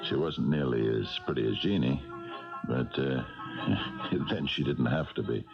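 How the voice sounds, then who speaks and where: An actor playing a wry, patient old police sergeant in a 1970s radio drama, musing and hesitant. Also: male voice, a bass voice. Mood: wry, reminiscing. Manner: hesitant, deliberate, musing delivery. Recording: dull vintage radio recording with music.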